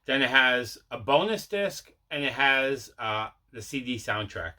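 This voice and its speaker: A man's voice: mid-range voice, expressive, a little slow, pushed and bright. A man hosting a collecting show, chatting casually as he shows off what he picked up. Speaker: host of a collecting video show. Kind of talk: casual unboxing chat.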